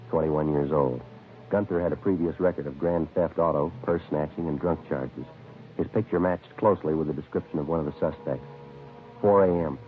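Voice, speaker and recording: A man playing a terse, businesslike police detective with an American accent, deadpan and matter-of-fact in a vintage radio procedural. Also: a bass voice. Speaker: lead actor and narrator. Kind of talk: clipped 1950s radio police-procedural narration and dialogue. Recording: muffled vintage radio, faint music.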